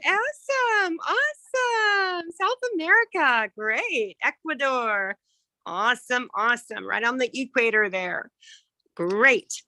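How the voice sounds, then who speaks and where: A woman hosting an online club meeting, effusive and quick to repeat words of praise. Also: high voice, lively intonation, bright, forceful tone, no room sound. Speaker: meeting host, club president. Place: video call.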